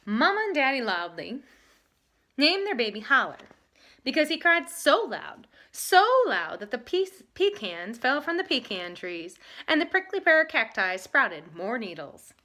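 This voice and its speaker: A woman teaching and reading a picture book aloud with emphatic, expressive delivery. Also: on the high side, unhurried. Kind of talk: lesson talk and animated storybook reading.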